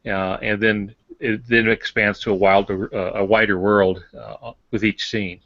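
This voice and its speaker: Dull-sounding, low-voiced man, halting and explanatory. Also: flat delivery, a bit bright, dry recording. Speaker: fiction writer, podcast panelist. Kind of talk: casual talk on writing craft.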